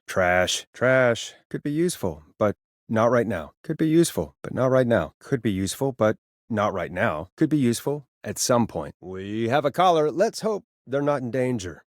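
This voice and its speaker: A sardonic late-night radio host from a video game, acted by a man, with a mid-pitched voice that swings expressively. He is wry, conversational and quick to get exasperated, recorded close and dry. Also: no room sound, close to an everyday voice. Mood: muttering, thoughtful, then smooth on-air.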